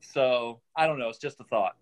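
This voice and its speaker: A man giving considered feedback on a video call, deliberate and a little hesitant. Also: medium pitch, poor audio. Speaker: participant giving script feedback. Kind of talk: considered critique.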